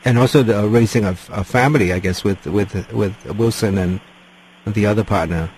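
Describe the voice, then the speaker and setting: Low husky male interviewer. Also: normal speed, a little mellow, rough recording. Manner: hesitant questions with fillers. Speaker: radio interviewer.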